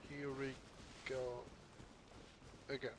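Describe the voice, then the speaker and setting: A man playing a game as a let's player, reading dialogue choices aloud in low-key commentary. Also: little pitch movement, takes it slowly, very noisy. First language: German. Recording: game music behind the voice.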